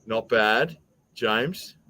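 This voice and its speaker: A man's voice: medium pitch, extremely slow, bright, forceful tone, slight background noise. Blunt, teasing man with stammered repeats. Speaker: show host running a quiz. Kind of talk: casual bantering chat.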